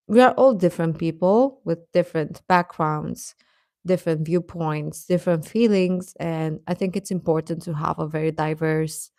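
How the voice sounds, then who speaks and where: Slow-paced woman livestreamer, gentle and encouraging. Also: fairly low pitch, no room sound, studio quality. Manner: gentle, encouraging, affectionate toward listeners. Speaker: livestream host. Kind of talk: casual livestream chat replying to viewers.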